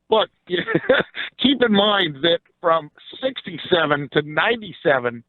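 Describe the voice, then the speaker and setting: A man's voice: high-pitched, no echo, phone-quality sound. An American man chatting casually and bluntly about sports as an opinionated radio guest. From Philadelphia area, USA.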